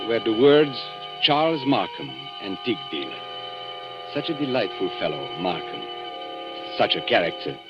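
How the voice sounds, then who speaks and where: An actor playing an urbane, cynical antique dealer in a 1940s radio drama, polished and theatrical in manner. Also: male voice, lowish voice. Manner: polished, theatrical, courteous and sardonic. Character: urbane, cynical male London antique dealer, worldly storyteller. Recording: muffled vintage 1940s radio broadcast, music.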